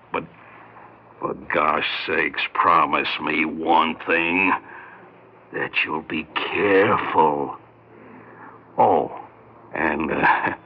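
Gruff but kind american cop, lowish voice, plain talk, old muffled 60s radio drama. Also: male voice, full character voice. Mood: gentle, hesitant. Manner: plain-spoken, hesitant, colloquial. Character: gruff but soft-hearted working cop, reluctant protector. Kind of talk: 1960s radio drama acting.

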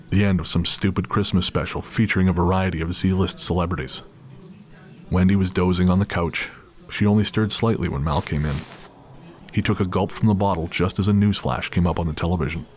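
A man's voice: sits low, fairly quick, a little mellow. A man reading a dramatic scripted story as the narrator of an audio drama. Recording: clear background noise and ambience.

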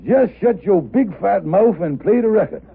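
A blustering, folksy schemer from old radio comedy. His voice rides high with gravelly creak, and his grammar is homespun. It comes through a tinny, narrow vintage radio recording. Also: lively intonation, moderate pace, a loud crowd, heavy background noise. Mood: exasperated. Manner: folksy dialect with ungrammatical phrasing. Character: wily, blustering lodge leader, chummy, exasperated with his friend. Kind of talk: 1950s radio comedy acting.

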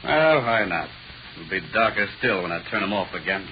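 A man's voice: sits low, lively intonation, normal speed. A man with an American accent playing a sardonic, bullying lawman who taunts his prisoner, in 1940s radio drama style. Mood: mocking, sneering. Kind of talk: 1940s radio horror acting. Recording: muffled 1940s radio recording, faint noise.

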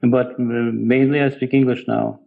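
Gravelly middle-aged man with Eastern European accent. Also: mid-range voice, little pitch movement, moderate pace, phone-quality sound. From Ukraine. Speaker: guest, software developer. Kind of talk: casual interview answers.

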